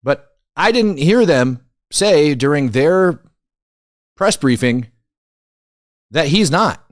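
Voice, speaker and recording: A man's voice: fairly high pitch, lively intonation, takes it slowly, dry recording, clean studio sound. Man giving emphatic, casual news commentary. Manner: conversational, incredulous, emphatic repetition. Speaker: commentary show host.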